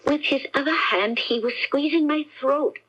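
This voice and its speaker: A woman's voice: sits high, moderate pace, lo-fi sound, close to an everyday voice. An actress with an American accent playing an anxious, earnest female witness in a radio mystery drama.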